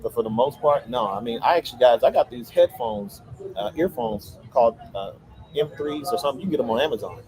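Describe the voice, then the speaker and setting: Chill dude answering chat on a street stream, crowd noise all around. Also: male voice, mid-range voice, fairly quick, low-quality recording. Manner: relaxed, advice-giving, answers chat. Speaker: livestream co-host. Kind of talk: casual livestream chat.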